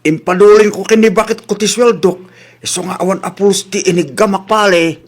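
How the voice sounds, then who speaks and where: Henpecked broke worker played by a man, comedy drama, very high male voice, pleading, Filipino accent. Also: steady tone, fairly quick.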